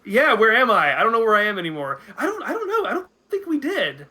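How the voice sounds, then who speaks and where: A man's voice: high-pitched, sing-song, fast, sharp tone. A man in his thirties chatting casually as a podcast guest, thinking aloud with hesitant, repeated words. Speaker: guest commentator. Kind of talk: casual video podcast chat.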